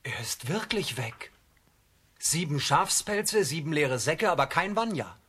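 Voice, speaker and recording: Husky, blunt peasant brother. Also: male voice, fairly high pitch, sing-song, unhurried, sharp tone, exaggerated voice. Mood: baffled. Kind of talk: German children's radio drama acting.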